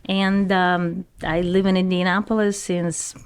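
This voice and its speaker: A woman's voice: fairly low pitch, little pitch movement, measured pace, a little hiss or hum. A woman from Uzbekistan, a real estate broker chatting as a podcast guest in English. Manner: chatty, non-native phrasing. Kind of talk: casual podcast conversation.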